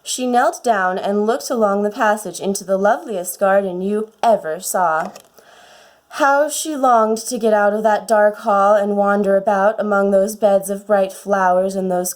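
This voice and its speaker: A woman reading a children's storybook aloud with a put-on British accent, in an expressive storytelling manner. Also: mid-range voice, unhurried. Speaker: audiobook reader.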